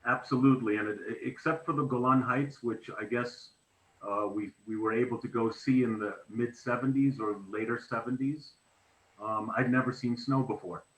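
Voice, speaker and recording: A man's voice: mid-range voice, fairly even intonation, normal speed. A middle-aged man from South Africa talking as a guest on a video call, hesitant and restarting his sentences. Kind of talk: casual interview chat. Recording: echoey room.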